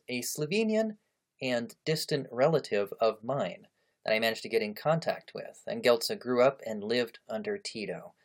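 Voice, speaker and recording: Man, podcast host, mid pitch, steady clear delivery, interviewer.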